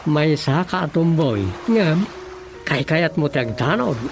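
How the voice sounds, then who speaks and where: A man's voice: fairly high pitch, animated, a little slow. A man with an Ilocano Filipino accent playing a teasing, watchful village councillor in a radio drama. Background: music.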